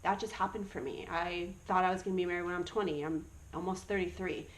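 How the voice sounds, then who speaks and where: A woman's voice: fairly low pitch, fairly even intonation, fast talker, low-quality recording. A woman in her early thirties sharing her personal faith testimony in an earnest, encouraging way. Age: 30s. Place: Australia.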